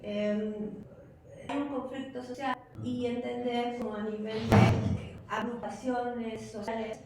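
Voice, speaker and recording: A woman in a casual group discussion, talking in a lively, chatty way that jumps between thoughts. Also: on the high side, slow. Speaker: discussion participant. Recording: echoey noisy room, far from the mic.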